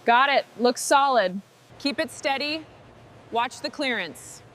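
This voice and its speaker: A fairly high-voiced woman leads a restoration crew in calm, clipped orders. Every line is short and even. Faint workshop noise hums behind her. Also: takes it slowly. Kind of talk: scripted workshop dialogue.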